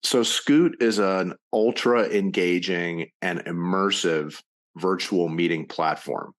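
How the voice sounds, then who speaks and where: Guest: deep-voiced middle-aged man, steady and confident. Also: slow. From United States (Ohio). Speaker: podcast guest, entrepreneur. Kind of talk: casual interview chat.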